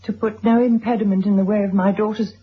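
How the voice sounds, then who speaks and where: Radio drama wife female actor: middle-aged gentlewoman, composed but firm, distinctly husky voice, dulled old-recording sound. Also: mid-range voice, flat delivery, quick pace, muffled recording, natural voice. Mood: formal reading. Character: composed, well-bred titled wife and mother, quietly firm.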